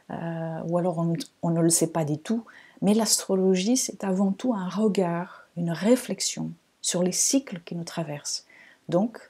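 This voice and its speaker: A woman from Portugal with a faint accent hosts a reflective astrology podcast. Her voice sits low and mellow, with a husky, breathy softness that sometimes sinks toward a whisper. She talks slowly and thoughtfully. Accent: light Portuguese-tinged French. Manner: slow, soft-spoken, breathy at times. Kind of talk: calm reflective monologue.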